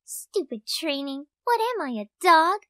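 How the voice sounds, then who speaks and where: A woman's voice: extremely high pitch, expressive, unhurried, fairly bright tone, no room sound, clean studio sound, exaggerated voice. A woman voicing a sarcastic, confident young female hedgehog hero, with music playing behind. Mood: grudging. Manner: sarcastic, cocky. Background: background music.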